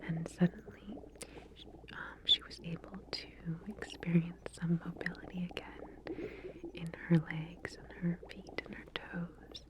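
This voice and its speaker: Slow, whispering woman in ASMR roleplay. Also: on the low side, level tone, rough recording. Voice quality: breathy, unvoiced whisper. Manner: gentle, unhurried, halting explanations with pauses. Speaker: ASMR roleplay performer playing a lab medical researcher.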